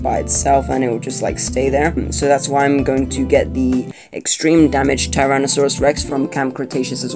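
A young man from Colombia chats through a toy unboxing at a table, hesitant and rambling with frequent ums and restarts. His voice sits at an ordinary middle pitch. Guitar music plays clearly underneath the camera-mic sound. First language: not Spanish. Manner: chatty, hesitant, many fillers and restarts. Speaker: toy reviewer. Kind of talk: casual unboxing review. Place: indoor room at a table.

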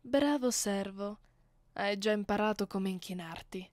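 A woman playing a haughty, seductive vampire mistress in an ASMR roleplay, speaking softly and condescendingly, close to the microphone, with an Italian accent. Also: mid-range voice, no echo, close to an everyday voice. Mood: smugly pleased. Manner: slow, condescending, teasing, often dropping into whispers. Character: haughty, seductive female vampire mistress, commanding her captive. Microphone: close studio microphone.

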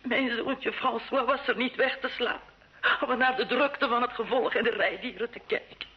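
An actress playing a poor, grieving peasant mother in a radio play, meek and halting. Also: fairly high pitch, close to an everyday voice. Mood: timid, sorrowful. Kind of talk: Dutch-language radio play acting. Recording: dull, lo-fi old radio recording.

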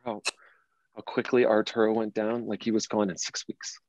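A man acting a distraught man in his late thirties, low in pitch, wounded and indignant. The dramatic reading is recorded in an echoey room with slightly dull sound. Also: level tone, normal speed, darkish tone, close to an everyday voice. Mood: troubled, recounting. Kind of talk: dramatic script table reading.

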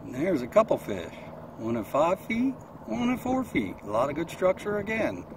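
A man's voice: on the high side, wildly swooping pitch, moderate pace. Middle-aged American man, noisy outdoor recording. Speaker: kayak angler reviewing gear. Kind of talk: casual product review vlog. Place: mostly outdoors in a kayak on open water.

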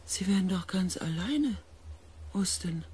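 A man playing a shaken, earnest expedition pilot in a science fiction radio play, with weighty, hushed dramatic delivery. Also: high-pitched, animated, a little slow, husky, full character voice. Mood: pleading.

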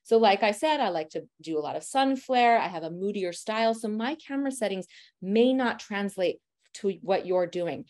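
A woman in her thirties talks through a photography class with loud, chatty energy. Her voice sits at an ordinary middle pitch. She speaks directly and warmly encourages her students to try things out. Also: animated, moderate pace. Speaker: family photographer teaching posing. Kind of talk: presentation lecture.

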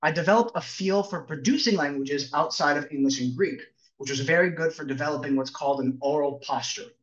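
A man's voice: a little high, brisk, reverberant. Man presenting over a video call. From New Jersey, USA. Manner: friendly, lightly joking, explanatory. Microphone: webcam on a video call. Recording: distant, dull-toned video call audio.

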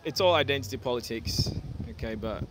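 A man's voice: medium pitch, a little fast. A young Ghana-born man live-streaming outdoors on a sunny city street, chatting casually with viewers and filling his talk with "okay" and "yeah". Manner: relaxed, repetitive, drops in "okay" and "yeah" often. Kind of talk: casual live-stream chat, reading viewer comments aloud. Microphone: phone held at arm's length. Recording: phone recording on a noisy street. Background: street and traffic noise.

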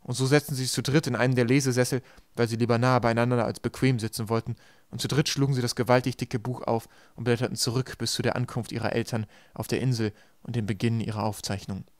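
A man narrates an audiobook in a medium-pitched voice. He reads the scripted prose at a brisk clip, and the sound is close and dry. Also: fairly even intonation, no room sound.

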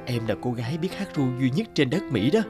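An actor playing an affectionate, admiring husband in a cartoon. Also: male voice, mid-range voice, lively intonation, quick pace, over music, natural voice.

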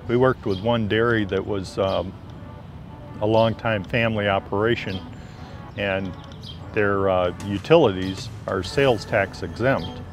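Lowish-voiced man in an interview. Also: measured pace. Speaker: energy auditor. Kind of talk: anecdotal interview talk. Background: clear background music.

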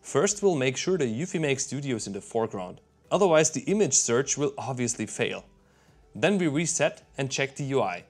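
A man's voice: mid-range voice, very animated, moderate pace, soft background music. Upbeat man narrating a tech build. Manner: upbeat, explanatory, technical. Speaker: maker and YouTube host. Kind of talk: scripted tech voice-over narration. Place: workshop with shelves of equipment.

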